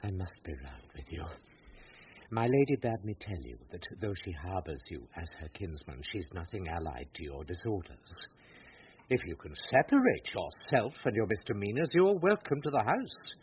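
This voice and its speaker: An actor playing a pompous, puritanical household steward in a radio Shakespeare, indignant and precisely spoken. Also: male voice, sits low, big pitch swings, normal speed. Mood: stern disdain. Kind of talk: classic radio Shakespeare acting. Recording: muffled, slightly noisy radio drama.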